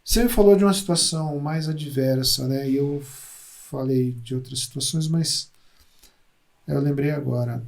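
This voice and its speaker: Gravelly man, thoughtful speech. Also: medium pitch, moderate pace, a little mellow. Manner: thoughtful, repeats words while searching for them. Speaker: hospital psychologist, podcast guest. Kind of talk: conversational interview.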